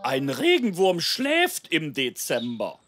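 Pompous male gander teacher, wildly swooping pitch, very slow didactic delivery, children's cartoon. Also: huge pitch swings, music in the background. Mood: instructive.

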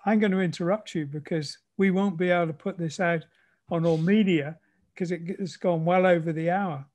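Male conversation host, high-pitched voice, casual and courteous, appreciative of his guest. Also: steady tone, normal speed, darkish tone. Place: remote call.

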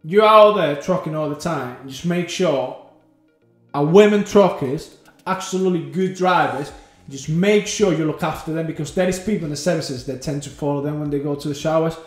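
A man with a high-pitched voice talks about his trucking days in quick, animated bursts, often acting out what people said to him. The room is echoey and faint music plays underneath. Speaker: trucker and channel host. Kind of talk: casual chat.

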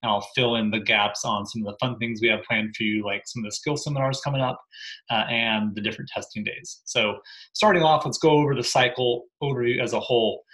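Energetic bright-voiced male coach. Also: mid-range voice, brisk, poor audio. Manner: energetic, emphatic, frequent "right?" asides. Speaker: gym programming coach. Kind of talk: recorded briefing to gym owners. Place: living room at home.

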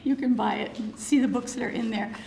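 Hoarse, gravelly woman in her 60s, curator keynote, roomy hall sound, faint room noise. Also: fairly high pitch, fairly even intonation, mellow. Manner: measured, slightly halting delivery with fillers. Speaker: curator giving a keynote lecture. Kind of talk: prepared lecture. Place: lecture hall with wood paneling. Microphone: podium microphone.

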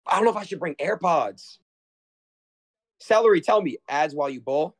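A man's voice: on the high side, animated, moderate pace. Young guy streaming off his phone in his bedroom, restless, cursing, talking to chat. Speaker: livestreamer. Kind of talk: casual livestream chat. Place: bedroom in a house.

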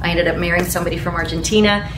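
A woman's voice: lowish voice, fairly even intonation, racing. A woman in her forties from Ecuador, a real estate agent giving earnest answers in a panel interview, with Spanish as her first language. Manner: earnest, gestures while explaining. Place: meeting room in front of a large screen. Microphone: far camera mic. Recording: echoey room from far off, faint noise.

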